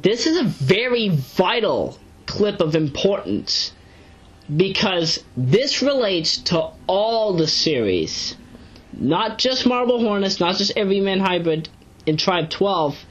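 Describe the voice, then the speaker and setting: Rambling woman with lively swings in pitch. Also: highs cut off. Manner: rambling, halting, self-correcting. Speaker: online video reviewer and narrator. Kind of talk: casual unscripted commentary recapping a web series.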